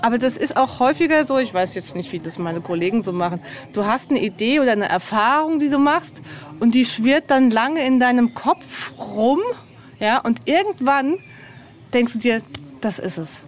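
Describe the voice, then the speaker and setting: A woman with a fairly high-pitched voice speaks as a guest in a casual interview. She thinks aloud, restarting and repeating words in an unscripted, conversational flow. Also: expressive, normal speed. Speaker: novelist, guest. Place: on location at an old castle.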